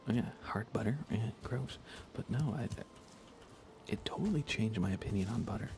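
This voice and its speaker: A soft-spoken man chatting casually on an ASMR gaming livestream, rambling unhurriedly and often slipping toward a whisper. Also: lowish voice, moderate pace, noisy background. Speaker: livestreamer playing a video game.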